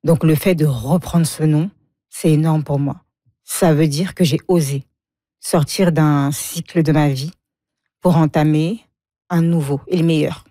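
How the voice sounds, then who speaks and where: A woman's voice: low-pitched, fairly even intonation, a little slow, warm tone, no room sound, clean studio sound. A woman in her forties from Congo, a guest speaking frankly about her life and often laughing. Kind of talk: emotional personal interview.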